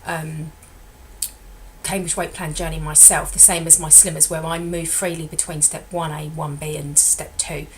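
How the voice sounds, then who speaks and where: A middle-aged woman with a slightly croaky voice, giving a chatty, rambling diet vlog update as a weight loss consultant and often correcting herself. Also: sits low, flat delivery, moderate pace, slight background noise.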